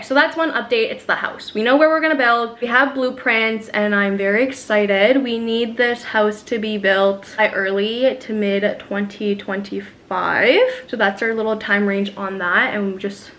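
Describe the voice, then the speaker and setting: A young woman chatting casually to camera in a vlog while doing her makeup at home, upbeat and full of soft fillers. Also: medium pitch, a little hiss or hum. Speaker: lifestyle vlogger. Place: at home in front of a mirror.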